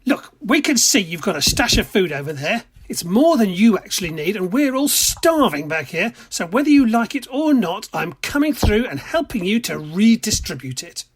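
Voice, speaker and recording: A man playing a pushy, hungry train passenger in an amateur radio drama, with a husky voice. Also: sits high, very animated, normal speed, hardly any pauses, exaggerated voice. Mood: confrontational. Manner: wheedling, then confrontational. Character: pushy hungry male passenger from the next carriage.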